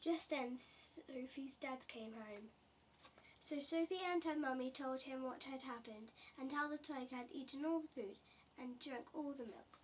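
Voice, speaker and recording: A woman with a very high voice reads a children's picture book aloud. She sounds distant from the microphone, with faint noise behind her. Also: normal speed. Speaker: storybook reader.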